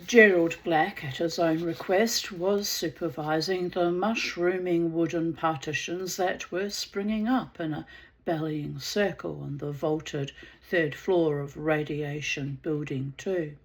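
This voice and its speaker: A woman with a New Zealand accent reading a novel aloud, deliberate and unhurried, with occasional asides. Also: low voice, fairly even intonation. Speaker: audiobook reader.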